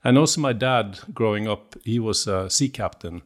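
Low-voiced Norwegian man, halting and hesitant. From Norway. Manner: hesitant, with many fillers and restarts. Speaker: guest. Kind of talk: casual interview.

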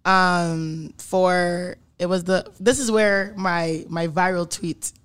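A podcast co-host chatting casually, reacting quickly and rambling through stories. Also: mid-range voice, fairly even intonation, fairly bright tone, smooth. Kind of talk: casual chat.

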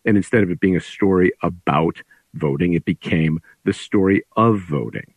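A middle-aged man, an author guest, explaining his work in a deliberate, conversational storytelling way. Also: low-pitched, no room sound.

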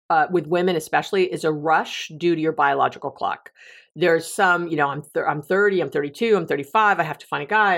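A middle-aged woman with a fairly low voice hosts a solo relationship advice podcast. She talks in a loose, chatty way, laughs at her own jokes and often restarts her sentences. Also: creaky, fairly bright tone. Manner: chatty, joking, laughs at herself, frequent restarts. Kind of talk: casual solo podcast talk.

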